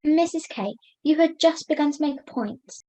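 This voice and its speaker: A woman acts a puppet in charge of a committee in a comedy show. The voice is high, the manner measured, courteous and formal. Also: warm tone, natural voice. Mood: businesslike. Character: polite, orderly puppet chairing a meeting. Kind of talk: scripted puppet comedy dialogue.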